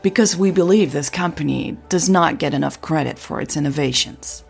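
A woman voicing an eager, persuasive male marketing contact in an audio drama, with a husky, creaky voice. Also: low-pitched, normal speed, soft background music, heavily acted.

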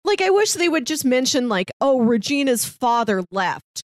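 A woman's voice: sits high, sing-song, moderate pace, dry recording, studio quality. A woman co-hosting a podcast, talking casually about a TV show in a musing, questioning way. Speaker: podcast co-host.

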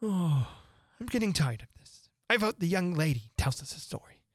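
A woman voicing an impatient, pushy male march hare who speaks briskly. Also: fairly low pitch, very animated, husky, dry recording, clean studio sound, pitched above the performer's own voice.

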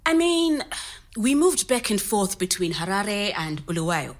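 A woman's voice: mid-range voice, big pitch swings, measured pace. An actress playing a frank, spirited middle-aged Zimbabwean woman recounting her youth in an interview-style audio drama, with a Zimbabwean accent. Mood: frank, reflective.

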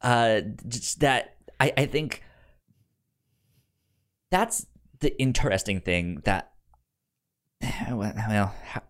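Halting, stammering podcast co-host in casual chat. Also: medium pitch, animated, clear, resonant tone. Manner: halting speech with repeated syllables and stammered words. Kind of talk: casual pop-culture discussion.

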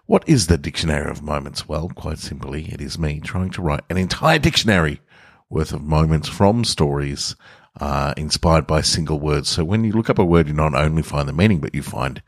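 A man's voice: very deep voice, no echo, clean studio sound. A man, hosting a podcast and reading short fiction aloud. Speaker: podcast host reading own short stories. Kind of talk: podcast narration, casual intro and story reading. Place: hotel room with portable studio equipment.

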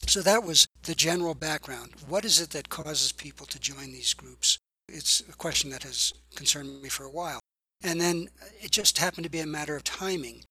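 Older guy, author type, answering podcast questions, bright breathy voice, stops and repeats himself a lot. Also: on the high side, moderate pace, low-quality recording. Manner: thoughtful, repeats words while searching for phrasing. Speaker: author and journalism professor.

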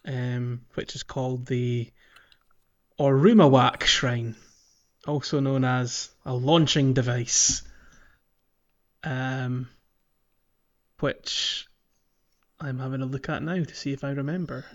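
A man's voice: mid-range voice, fairly even intonation, slow, long pauses. A man with a mid-range voice chats as a gaming podcast co-host. He is informal and conversational, often thinking aloud, and the recording is a little dull and lo-fi. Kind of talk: casual gaming podcast chat.

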